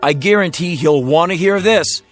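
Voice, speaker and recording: Radio drama role: man playing pushy, slangy American male car dealer, higher voice, emphatic and insistent. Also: moderate pace, faint music behind, close to an everyday voice. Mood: urgent. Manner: slangy, emphatic, salesman patter. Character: folksy, fast-talking American used car salesman, agitated and insistent.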